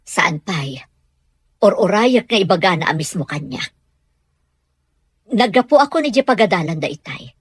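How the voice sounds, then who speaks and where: Casting: actress as worried middle-aged mother, Ilocano Filipino accent, breathy husky tone, very animated delivery, audio soap. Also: mid-range voice, moderate pace, pauses often, no room sound. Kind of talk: audio soap drama acting.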